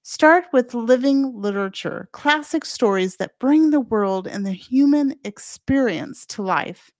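A woman's voice: fairly high pitch, expressive, measured pace, darkish tone, dry recording, clean studio sound. A woman presenting a scripted solo podcast as its host, speaking directly and encouragingly to parents.

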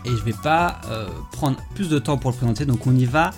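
A man's voice: mid-range voice, a little fast, over music. Chatty male podcast host. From France. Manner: chatty, many fillers and restarts. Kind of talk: casual podcast interview.

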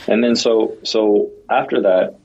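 A man with a very low, husky voice rambles casually through a story, full of "like" and restarts. His audio comes through dull and lo-fi. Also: a little slow, a little mellow. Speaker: podcast co-host. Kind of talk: casual podcast chat.

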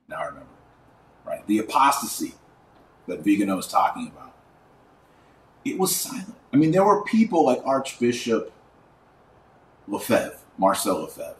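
In a roomy, echoing space, a man with a low voice and an American accent speaks a little away from the mic. He delivers informal podcast commentary, stacking emphatic lists and repeating words for effect. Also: very animated, brisk, pauses often. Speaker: podcast host; online course teacher. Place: indoors at a desk. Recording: roomy echo, distant mic, faint noise.

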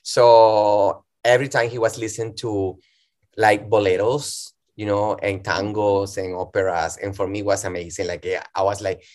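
A middle-aged man from Venezuela with a Venezuelan accent, speaking English as a second language as an interview guest, animated and given to restarts. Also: fairly low pitch, moderate pace. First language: Spanish. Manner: animated, restarts often, acts out his own past words. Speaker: guest performer. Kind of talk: casual interview chat.

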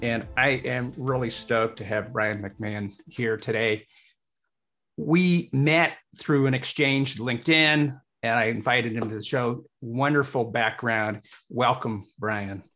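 A man from England hosting a casual interview, with halting phrasing that circles around its point. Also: medium pitch, fairly even intonation, fairly bright tone, faint music behind. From born in Hull, Yorkshire, England. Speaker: podcast host.

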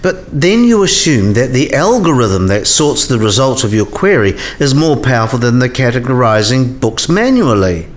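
Actor as a dry, precise, sceptical professor. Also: male voice, medium pitch, very animated, moderate pace, few pauses, natural voice. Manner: precise, didactic, dryly teasing. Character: learned, dry, gently sceptical male professor. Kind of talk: scripted radio play dialogue.

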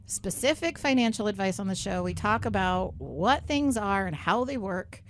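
A woman's voice: mid-range voice, no room sound. Chatty radio host woman, dry studio sound. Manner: chatty, upbeat, many asides and "right?". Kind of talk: casual talk radio chat.

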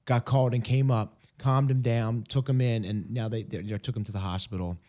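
Man, hoarse voice, radio host, earnest, halting, restarts often. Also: mid-range voice, fairly even intonation, mellow. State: hoarse from illness. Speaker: ministry program director.

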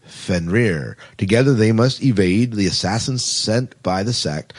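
A man's voice: fairly low pitch, breathy edge. A man hosting a podcast, chatty and rambling, reading out news items in a casual, self-deprecating way. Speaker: podcast host.

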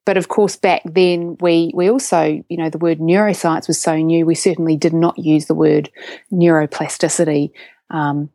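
Woman, New Zealand accent, forties, lowish voice, dry close sound, eager chatty guest with restarts. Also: little pitch movement, normal speed, dark tone, no room sound. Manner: enthusiastic, with frequent restarts and ums. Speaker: guest, neuroscientist and science communicator. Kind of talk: conversational interview.

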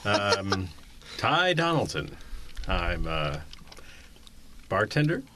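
A man's voice: on the low side, big pitch swings, extremely slow, pushed and bright, a little hiss or hum. A man guest on a casual podcast, answering in short, laid-back replies.

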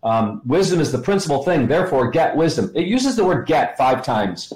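A man's voice: a little high, very animated, normal speed, a little mellow, slight background noise. A middle-aged American man teaching conversationally as an interview guest, enthusiastic and repeating himself for emphasis. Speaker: interview guest, ministry educator. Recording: roomy, echoing sound.

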